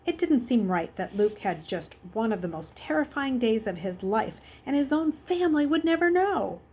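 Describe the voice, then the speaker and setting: A woman's voice: fairly high pitch, very animated, normal speed, darkish tone, husky, low-quality recording. A woman reading a children's novel aloud as a storyteller. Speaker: story reader.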